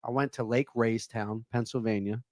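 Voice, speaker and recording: A man's voice: medium pitch, steady tone, moderate pace, darkish tone, no echo, studio quality. A man from Baltimore co-hosting a casual chat, swearing freely and laughing as he tells stories. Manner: profane, laughing, storytelling. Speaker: podcast co-host.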